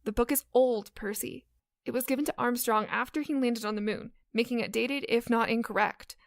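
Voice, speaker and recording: A woman's voice: fairly high pitch, steady tone, moderate pace, no room sound, studio quality, lightly acted. A woman playing a dutiful, studious young space recruit in a comedy audio drama, earnest and precise. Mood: patient, explaining.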